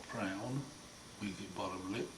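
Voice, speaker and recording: A man with a croaky, hoarse voice after throat surgery, talking slowly and wryly in a casual home video diary. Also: on the low side. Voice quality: hoarse, partly breathy. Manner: slow, wry, plain-spoken, often pausing. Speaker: patient sharing his recovery. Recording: roomy echo, far mic, noisy.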